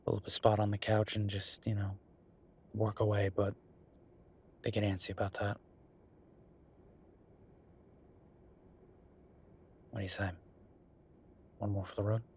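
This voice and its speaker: A man playing an easygoing, affectionate werewolf bureaucrat in an intimate boyfriend roleplay audio, talking casually and haltingly with a husky voice. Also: on the low side, completely flat delivery, a little hiss or hum, natural voice. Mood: fond, teasing.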